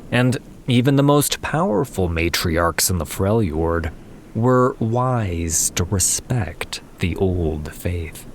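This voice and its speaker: A man with a low-pitched voice narrates a fantasy tale. His delivery is slow, measured and solemn, with faint ambience running behind the words. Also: a little hiss or hum. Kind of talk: scripted fantasy story narration.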